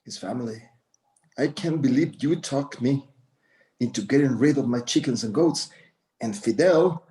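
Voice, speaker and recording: A man's voice: medium pitch, moderate pace, pauses often, mellow, lightly acted, poor audio. Guy doing proud old mexican-american ranch dad, stubborn, short philosophical lines, script read. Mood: sad, resistant. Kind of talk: screenplay table read acting.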